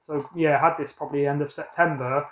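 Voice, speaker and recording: A man's voice: on the high side, steady tone, fast talker, reverberant, phone-quality sound, a little hiss or hum. A British man in his thirties chatting casually about his film collection, rambling and correcting himself as he goes. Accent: British English. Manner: rambling, self-correcting, upbeat. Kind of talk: casual chat showing a film haul.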